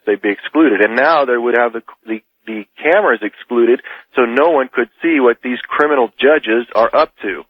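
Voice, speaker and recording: A man's voice: mid-range voice, dry recording. A man arguing politics insistently as a caller on a radio show, in a heated debate style. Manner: insistent, argumentative. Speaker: radio call-in guest. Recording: dull lo-fi radio call.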